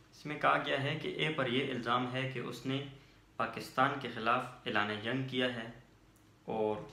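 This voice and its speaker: A man with a Pakistani accent teaches in a roomy, echoing space. His voice is bright and forceful at a medium pitch. He speaks without hurry, methodically reading formal text and explaining it as a lecturer would. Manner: methodical, switches between English and Urdu. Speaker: law lecturer and channel host.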